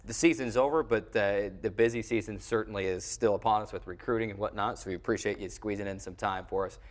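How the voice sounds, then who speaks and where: A man's voice: fairly low pitch, steady tone, quick pace. A man hosting a broadcast sports show in a studio, talking in a conversational, wordy way.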